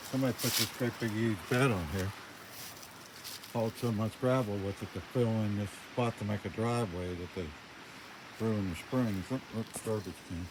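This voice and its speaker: An older man talking casually in a plain, folksy way as he rambles through a walkaround commentary outdoors. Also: on the low side. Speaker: vlogger showing around own farm. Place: outdoors on a rural farm. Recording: noisy outdoor recording with loud ambient noise.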